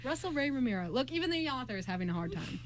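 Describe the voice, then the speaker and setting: A young woman hosting a radio show in casual chat with friends, excitable, giggly and dramatic in how she talks. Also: high-pitched, very fast, a little hiss or hum.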